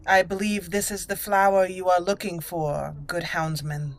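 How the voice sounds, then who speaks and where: A woman hosting a roleplay game playthrough, deliberately narrating her actions aloud. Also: lowish voice, little pitch movement, a little hiss or hum. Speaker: gaming channel host. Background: faint game music.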